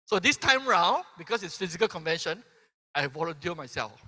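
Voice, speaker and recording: Brief: Malaysian-born man, 50s, bright voice, convention stage with long hall reverb, keeps checking in with "right?". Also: high voice, big pitch swings, a little fast. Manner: peppers sentences with "right?", asks the crowd questions. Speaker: keynote speaker. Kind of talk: motivational convention speech.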